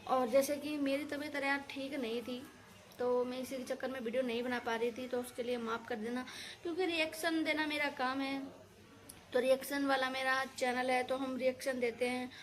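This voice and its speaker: A woman with a high-pitched voice rants casually to her online audience. She sounds indignant and scolding, circling back over her points in colloquial, opinionated phrases. A faint ambient noise sits behind her voice. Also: fairly even intonation, normal speed. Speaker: reaction video creator. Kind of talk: casual opinionated rant to viewers.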